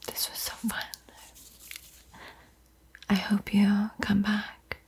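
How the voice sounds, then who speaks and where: A woman whispering an ASMR painting tutorial in a breathy, soothing, gently encouraging way. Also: medium pitch, completely flat delivery, takes it slowly. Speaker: art tutorial host.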